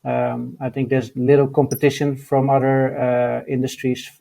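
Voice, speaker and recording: This is a man with a Dutch accent, a guest in an interview. His speech stays within a narrow, flat pitch band. He hesitates a lot, with fillers and restarts between thoughts. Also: gravelly. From Netherlands. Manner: halting, many fillers and restarts. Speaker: guest, mining entrepreneur. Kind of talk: interview answers.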